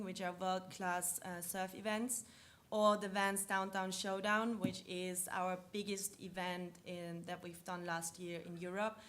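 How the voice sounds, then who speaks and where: A woman with an Austrian accent presents a conference session, walking through her slides at a middling pitch. She explains step by step, often pausing on ums and restarting sentences. A faint hiss of background noise sits under her voice.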